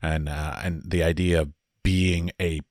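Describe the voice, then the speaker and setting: Casting: very deep, dry-sounding male podcast host, 40s, relaxed and chatty. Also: unhurried, no room sound. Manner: relaxed, chatty, laughs easily. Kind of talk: casual interview chat.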